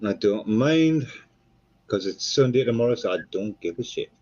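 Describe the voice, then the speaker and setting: A man with a Geordie accent, co-hosting a relaxed live stream and chatting casually, swearing freely and rambling between jokes. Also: on the low side, moderate pace, slightly rough, muffled recording. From North East England.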